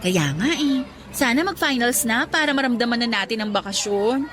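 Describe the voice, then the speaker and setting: A bubbly, lovestruck student girl, voiced by a woman, in a radio drama. Her high voice swings widely from word to word, and soft music runs underneath. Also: normal speed. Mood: chatty. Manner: animated, defensive, bubbly. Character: cheerful lovestruck young female student.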